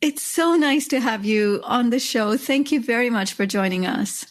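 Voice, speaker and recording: A woman hosting a podcast, introducing the show and her guest in an earnest, measured way. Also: a little high. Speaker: podcast host. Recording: music bed under voice.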